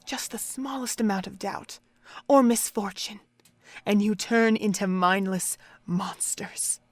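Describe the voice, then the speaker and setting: A woman acts out a cold female pony overseer in a radio play. She speaks with deliberate, theatrical contempt, in a mid-pitched voice. Her scorn swells into intensity and settles back into measured menace. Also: no echo. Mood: scornful, intense. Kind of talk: dramatic audio drama acting.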